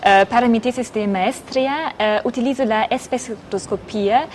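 A woman's voice: fairly high pitch, moderate pace, a little mellow, slight background noise. A woman in her twenties with a German accent, a physics student reading prepared answers as an interview guest.

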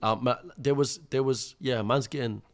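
A man's voice: medium pitch, steady tone, moderate pace. A man in his thirties, born in Iraq, telling his life story as a podcast guest in street slang, calling the host "bro" and "akhi". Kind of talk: casual storytelling interview.

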